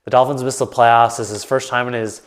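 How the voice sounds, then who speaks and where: A man's voice: mid-range voice, steady tone, fast. A man hosting his own sports talk show, stuffed up and a bit nasal, thinking aloud in a loose, rambling way to the camera. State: congested, nasal. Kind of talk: casual solo commentary to camera. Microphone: camera mic, solo setup.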